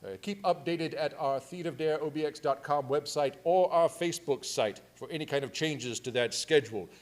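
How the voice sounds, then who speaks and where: Chatty, joking male host, slightly high voice. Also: moderate pace. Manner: jokey, chatty, breaks into chuckles. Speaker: theatre company host. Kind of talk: live show introduction and announcements.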